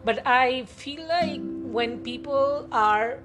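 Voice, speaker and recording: A young woman on a podcast who talks haltingly, often stopping to search for the right words. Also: sits high, over music. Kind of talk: casual podcast chat.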